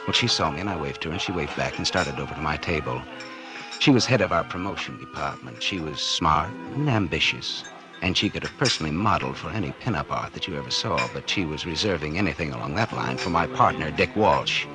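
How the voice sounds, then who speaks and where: A man's voice: rumbling low, lively intonation, quick pace. A man playing a bitter, scheming publisher in a 1940s radio drama, sardonic and slangy, with an American accent and a hard-boiled delivery. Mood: bitter, brooding. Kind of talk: 1940s radio acting, first-person noir narration. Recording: old 1940s radio recording with music.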